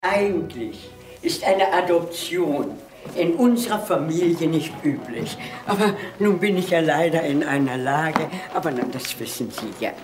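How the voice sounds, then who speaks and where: A woman's voice: sits low, animated, a little slow, background noise. An older woman playing a haughty, blunt old countess in a German crime radio drama, with an aristocratic, brusque manner.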